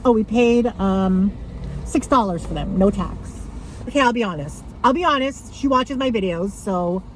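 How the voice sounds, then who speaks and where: A woman talking casually to camera about her thrift finds, rambling with lots of fillers and a loud voice. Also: medium pitch, slight background noise, low-quality recording. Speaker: thrift haul vlogger.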